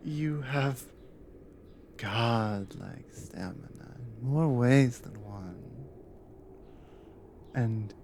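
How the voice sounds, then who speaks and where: Actress as devoted, teasing warrior wife for ASMR roleplay: soft breathy murmur, slow delivery, ambient noise behind. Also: female voice, rumbling low, full character voice. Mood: tender, curious. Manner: soft, breathy, hushed murmur with unhurried pauses. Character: devoted dominant Nordic warrior wife, tender and teasing adventurer. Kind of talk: intimate ASMR roleplay audio.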